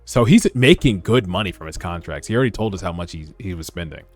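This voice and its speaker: Male host, low voice, excitable and slangy reaction commentary, light music bed underneath. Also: quick pace. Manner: casual, slangy, profane, excitable. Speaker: host of a manhwa read-along. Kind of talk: animated reaction commentary between read-aloud passages.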